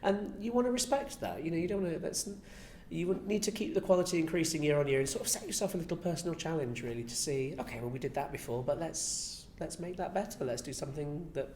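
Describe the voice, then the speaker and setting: A man's voice: fast talker, few pauses, a little mellow. A man giving reflective, earnest, conversational answers in an interview. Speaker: interviewee, pantomime writer and director. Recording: echoey room, faint rumbling noise.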